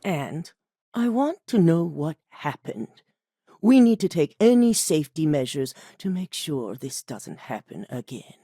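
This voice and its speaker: Casting: poised corporate executive woman, low-ish voice with lively pitch, measured and formal, dry studio sound. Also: slightly rough, no room sound, full character voice. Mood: calm. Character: composed corporate executive woman, cool and commanding. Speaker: corporate executive giving assignments. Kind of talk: video game voice acting.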